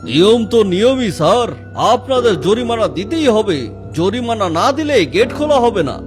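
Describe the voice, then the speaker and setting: A man's voice: high voice, big pitch swings, moderate pace. A man voicing a stern, officious security guard in a comic cartoon, with a grave manner. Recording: music behind the voice.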